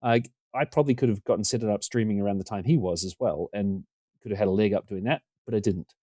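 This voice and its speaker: Talkative New man, low and mellow. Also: fast, no room sound, clean studio sound. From New Zealand. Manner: talkative, rambling, self-deprecating. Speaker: podcast guest. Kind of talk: casual conversation. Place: home room.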